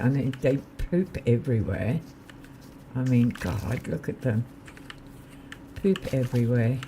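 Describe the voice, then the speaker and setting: A man with a slightly croaky voice, chatting casually and thinking aloud while he live-streams a game. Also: medium pitch, warm tone, a little hiss or hum.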